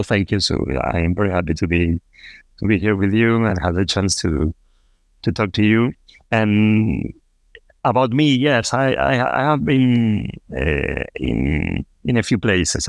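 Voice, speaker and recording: A man from Argentina, a fintech co-founder, answering interview questions in a halting way with many restarts and fillers. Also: fairly low pitch, expressive, gravelly, dry recording, clean studio sound. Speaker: podcast guest, fintech co-founder.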